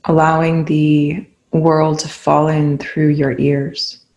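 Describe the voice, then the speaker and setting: Meditation guide: soothing and unhurried, flat steady melody, medium pitch, leaves long pauses between gentle instructions. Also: highs cut off.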